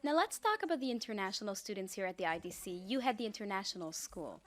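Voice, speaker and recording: A woman conducts a campus radio interview. She keeps her questions short and her delivery measured. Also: mid-range voice, very animated.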